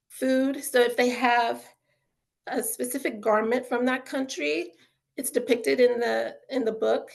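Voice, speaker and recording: Chatty woman with a gravelly voice. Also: on the high side. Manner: chatty, lots of fillers like "you know" and "um"; enthusiastic about children's learning. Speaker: author and educator presenting a webinar. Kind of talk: casual webinar presentation.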